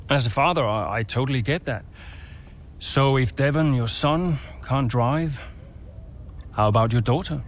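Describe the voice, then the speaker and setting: Earnest team boss played by a male actor for game drama, mid-pitched, measured, persuasive, faint ambient noise behind. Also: natural voice. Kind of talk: naturalistic video game cutscene acting.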